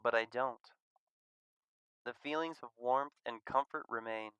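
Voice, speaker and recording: A man reading a visual novel aloud as expressive scripted narration. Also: medium pitch, measured pace, clean tone, dry recording.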